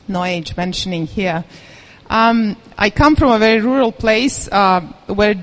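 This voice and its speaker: A woman's voice: medium pitch, unhurried, fairly bright tone, rough recording. Woman, speaker at a live talk, candid and self-mocking, a bit nervous. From Serbia. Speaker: event speaker. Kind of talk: personal story talk to an audience.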